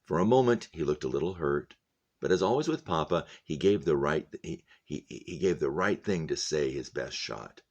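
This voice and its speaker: A man with an extremely low voice narrates a novel aloud as a teacher would. He reads the prose and adds plain explanatory comments for his listeners. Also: expressive, moderate pace, a little mellow, lo-fi sound.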